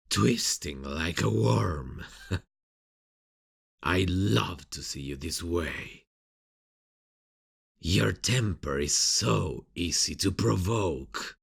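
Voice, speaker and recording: A sadistic villain for an audio roleplay, very slow and husky. The pitch swoops playfully as the killer mocks and teases, savoring every threat. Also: male voice, lively intonation, a bit bright, heavily acted. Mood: cruel delight. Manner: mocking, teasing, drawn-out delivery with sinister chuckles. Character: sadistic, playful serial killer taunting a detective. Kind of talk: ASMR roleplay acting.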